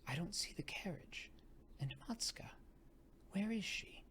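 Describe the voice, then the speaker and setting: A man voicing a sweet, bewildered young lady with a complaining, breathy manner. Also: high voice, lively intonation, measured pace, fairly bright tone, in a whisper, voice pushed up. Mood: bewildered, plaintive.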